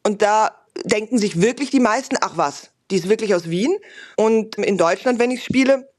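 A woman's voice: medium pitch, expressive, normal speed, a little mellow. Reflective woman in her thirties from Vienna. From Vienna, Austria. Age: 30s. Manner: thoughtful, self-questioning, loose run-on sentences. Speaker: cabaret artist interviewed. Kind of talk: reflective interview answers.

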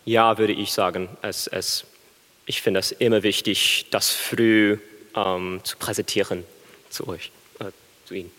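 A young American man speaking hesitant, halting German with an American accent, his voice on the low side. He pauses often and searches for words. A long reverb hangs behind him. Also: steady tone, a bit bright. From USA. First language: English. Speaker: baritone music student. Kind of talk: live stage interview.

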